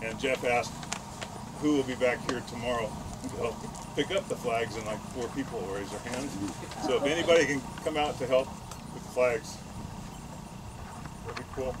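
A man with a mid-range voice makes a loose, casual announcement full of fillers and asides. He is recorded outdoors at a cemetery, and loud outdoor noise runs behind his voice. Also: mid-range voice, normal speed, long pauses. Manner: relaxed, rambling, with fillers.

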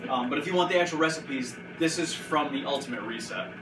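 A man's voice: on the high side, a little fast. A man hosting a casual home cooking show, joking and rambling with his friends in a kitchen, recorded from a distant camera. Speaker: host. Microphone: far camera. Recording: roomy, distant, noisy kitchen audio.

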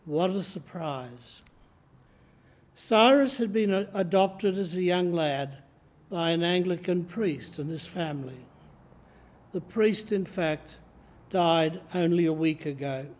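Slow, measured older Australian priest. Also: male voice, high voice, a little mellow. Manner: slow, measured reading from notes. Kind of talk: sermon. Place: church sanctuary, indoors. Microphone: lectern microphone, camera in front.

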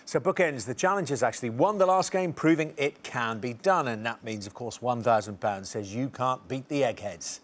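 A middle-aged man hosting a studio quiz show, reading questions and chatting with contestants. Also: medium pitch. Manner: brisk, reads questions clearly.

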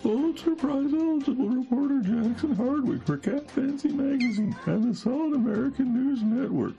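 A man playing a solemn, self-important courtroom reporter, giving a mock news report in a hushed half-whisper. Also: very high voice, moderate pace, gravelly. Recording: muffled, band-limited with music behind.

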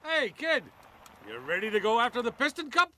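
An encouraging semi truck for an animated game. The American voice is high, bright and lively, chummy and hearty. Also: male voice, fairly quick, a little hiss or hum, full character voice. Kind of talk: animated video game acting.

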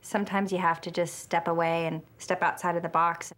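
Playful woman with a low voice in an interview. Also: level tone, a little fast, fairly bright tone. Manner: laughing, playful.

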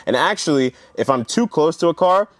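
A man's voice: fairly high pitch, lively intonation, a little fast, no room sound. A man hosting a casual, hands-on car tutorial vlog in an enthusiastic, informal way.

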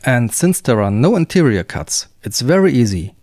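A male workshop host calmly narrating the steps of a woodworking project in a scripted voice-over, with a German accent. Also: mid-range voice, moderate pace, noisy background. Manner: calm, plain step-by-step explaining.

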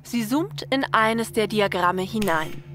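A woman's voice: medium pitch, expressive, takes it slowly, fairly bright tone. A woman narrator reading a scripted story. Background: faint music.

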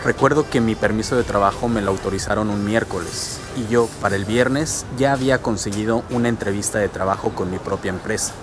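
A man talks to a handheld camera held at arm's length. He walks outdoors and explains things in a steady way. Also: on the low side. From Mexico. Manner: steady, explanatory, talking while walking. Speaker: vlogger. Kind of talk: walking vlog explaining immigration. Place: outdoors on suburban streets and a transit station. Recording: music playing underneath. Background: music.

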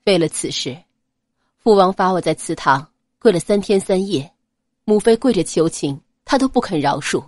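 A woman voicing a cold, restrained young nobleman in an audiobook, quiet, grave and measured. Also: lowish voice, expressive, dry recording, studio quality. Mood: grave, bitter. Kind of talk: dramatized audiobook character acting.

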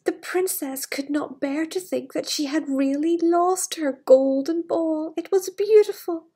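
A middle-aged woman with a breathy voice, reading a fairytale to children with gushing, chatty asides in a living room. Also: sits high, a little slow, dark tone. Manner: gushing, wistful enthusiasm for the book. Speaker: children's storyteller. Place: living room with large glass doors. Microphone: device camera at arm's length.

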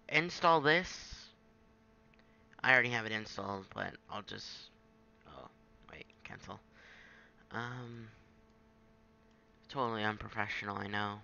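A man giving an informal, halting software tutorial, thinking aloud as he goes. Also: fairly low pitch, gravelly, clean tone, lo-fi sound. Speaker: tutorial presenter. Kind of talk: casual screen-capture software tutorial.

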